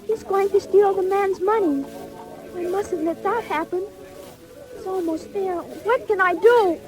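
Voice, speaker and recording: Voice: extremely high pitch, unhurried, poor audio. A performer playing an innocent young orphan boy, earnest and polite, in a 1940s audio drama. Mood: alarmed. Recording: old 1940s record.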